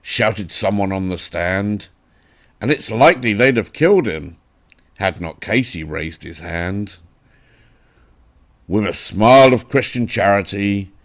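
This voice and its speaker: A man with an English accent giving a dramatic, theatrical recitation of a narrative poem, with deliberate, emphatic phrasing. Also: low voice. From England. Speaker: poetry reader.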